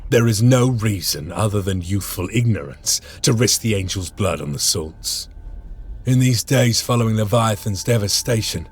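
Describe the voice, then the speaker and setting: An actor playing a grim veteran space marine sergeant, brooding and stern, with a husky voice. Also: male voice, lowish voice, lively intonation, normal speed. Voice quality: gravelly, husky. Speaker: sergeant narrator. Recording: game cutscene with music.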